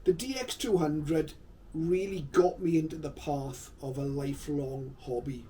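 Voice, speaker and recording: A man in his fifties narrating his memories to viewers in an unhurried, explanatory way, as a hobbyist vlogger. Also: fairly high pitch, mellow. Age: 50s. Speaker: vlogger, shortwave radio hobbyist. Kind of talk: reminiscing video narration to viewers. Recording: slightly distant mic, faint background noise.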